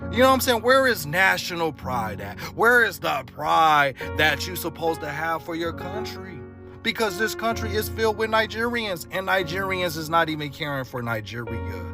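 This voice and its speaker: High-pitched male vlogger, sarcastic rhetorical delivery, clear music bed behind. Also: lively intonation, moderate pace. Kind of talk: walking vlog commentary.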